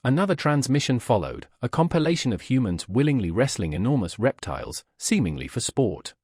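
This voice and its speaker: Male narrator, mid-range pitch with plenty of movement, wry scripted sci-fi storytelling. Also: sing-song, fairly quick, darkish tone, breathy edge, no room sound, clean studio sound.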